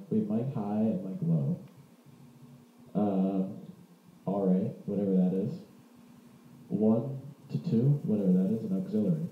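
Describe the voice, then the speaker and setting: A man's voice: on the low side, a little hiss or hum. A man giving a casual, unscripted product demonstration as a vlogger, rambling informally and correcting himself as he goes. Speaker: vlogger presenting vintage audio gear. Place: indoor room. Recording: roomy, muffled home-video sound.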